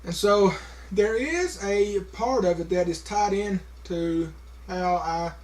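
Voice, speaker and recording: A man's voice: sits high, darkish tone. A man talking casually to a camera, with a heavy stutter, repeating sounds and stopping on words, with many fillers. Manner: slow, halting, many fillers. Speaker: vlogger.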